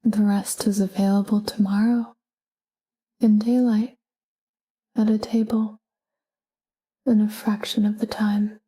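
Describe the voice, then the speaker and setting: A woman narrating a scripted sleep meditation in a calm, gentle, whispery voice with measured delivery. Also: medium pitch, poor audio. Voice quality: breathy, whispery. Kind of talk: scripted guided sleep meditation, soft spoken.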